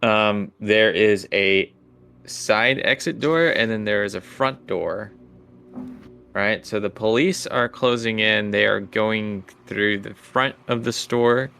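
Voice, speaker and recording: A man's voice: on the low side, bright, forceful tone, slight background noise. A man chatting casually and narrating as game master of a tabletop podcast.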